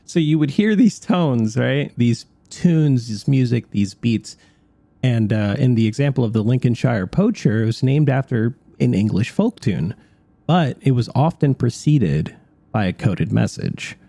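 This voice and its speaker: A man's voice: mid-range voice, lively intonation, unhurried, creaky, dark tone, no echo. A man hosting a radio show in a studio, moving between chat and part-scripted narration.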